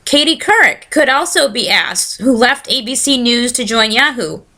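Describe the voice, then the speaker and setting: A woman hosts a casual solo podcast, talking easily and shifting into a steady reading voice. Her voice sits a little high with a bright, forward edge, and it is slightly scratchy. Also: normal speed. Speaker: podcast host.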